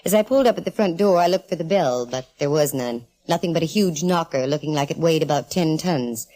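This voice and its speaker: A woman's voice: sits low, expressive, a little fast, warm tone, poor audio. An actress playing a breezy, sardonic, confident female private eye with an American accent, in 1940s radio detective style. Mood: wry, breezy. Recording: old 1940s radio recording, faint music.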